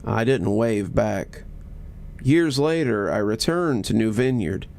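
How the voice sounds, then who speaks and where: A man's voice: lowish voice, lively intonation, takes it slowly, gravelly, darkish tone. A man narrating a horror story in a dramatic, scripted storytelling style.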